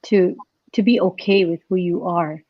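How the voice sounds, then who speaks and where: A woman's voice: fairly low pitch, steady tone, moderate pace, no echo, lo-fi sound. A woman guest on a podcast chatting casually and reflectively, in a tentative way peppered with "like". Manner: tentative, frequent "like". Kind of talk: casual, reflective panel chat.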